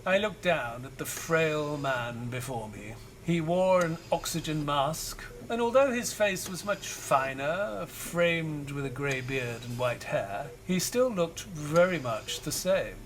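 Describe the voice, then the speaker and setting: An actor playing an elderly retired doctor and author in an audio drama, narrating in a measured, solemn, wistful way. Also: male voice, fairly high pitch, expressive, fairly bright tone, a little hiss or hum, poor audio. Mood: reflective, solemn. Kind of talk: dramatic first-person scripted narration.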